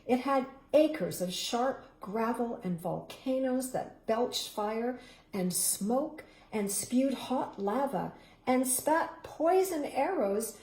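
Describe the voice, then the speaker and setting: A woman reading a children's storybook aloud, expressive and savoring each word, stopping for playful asides to her young listeners. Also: on the high side, slow. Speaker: local councillor reading a children's book. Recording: echoey room.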